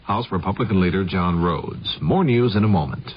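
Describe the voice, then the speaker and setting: A man's voice: sits low, animated, moderate pace, a little hiss or hum. An American man reading scripted news as anchor of a radio newscast. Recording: dull vintage radio broadcast.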